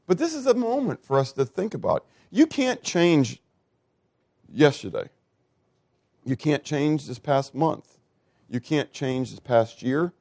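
A man in his fifties preaching a sermon, asking the congregation questions and swinging between loud, emphatic lines and soft asides. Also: on the high side, no echo, studio quality. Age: 50s.

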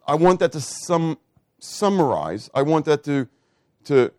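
A man in his fifties preaching a sermon, asking the congregation questions and swinging between loud, emphatic lines and soft asides. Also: fairly high pitch, big pitch swings, measured pace, no echo, clean studio sound. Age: 50s.

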